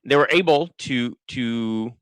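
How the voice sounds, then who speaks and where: Israeli guy who sounds american, crisp clear voice, rambling live commentary w lots of ums. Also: medium pitch, slow pace, a bit bright, no room sound, clean studio sound. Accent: American-sounding English. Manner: thinking aloud, many restarts and fillers. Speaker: political commentator. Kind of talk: live-stream commentary reading chat.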